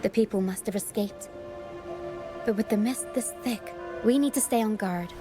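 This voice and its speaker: An actress playing a composed, solemn young woman guide in a fantasy video game, speaking in a measured, explanatory way. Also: medium pitch, natural voice. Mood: grave, watchful. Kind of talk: scripted video game voice acting. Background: game music.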